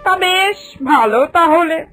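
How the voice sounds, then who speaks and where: A woman's voice: very high-pitched, lively intonation, measured pace, a bit bright, faint music behind, heavily acted. A woman playing a proud, bossy mistress of a wealthy village household in a cartoon, haughty and authoritative. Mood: condescending, exacting. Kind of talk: Bengali cartoon voice acting.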